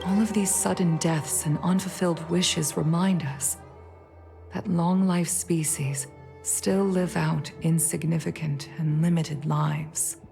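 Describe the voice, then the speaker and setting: A low-voiced, solemn, dignified commander. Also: female voice, steady tone, creaky, full character voice. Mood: solemn, measured. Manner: formal, measured, solemn. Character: grieving, dignified middle-aged fox-woman commission leader. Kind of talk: scripted video game voice acting. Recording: game music under the voice. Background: game music.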